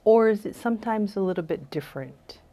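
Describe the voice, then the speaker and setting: A woman's voice: medium pitch, animated, a little fast, mellow. A woman interviewing guests as host, in a conversational style.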